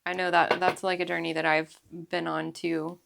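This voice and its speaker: Female host, lowish pitch with a gravelly edge, encouraging. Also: level tone, background noise. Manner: encouraging, quick affirmations. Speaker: podcast host. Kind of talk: casual interview chat.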